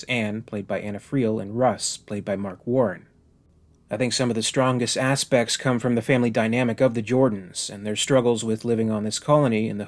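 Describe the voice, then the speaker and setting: A man hosting a video review, reading scripted narration in a measured, articulate and formally phrased way. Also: mid-range voice.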